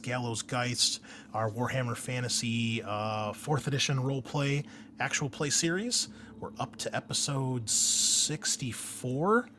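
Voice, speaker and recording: A man hosting as game master, explaining things casually and informally with a halting delivery. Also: mid-range voice, pushed and bright. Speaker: game master and podcast host. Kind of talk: casual explanation and chat on an actual-play podcast. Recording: faint background noise.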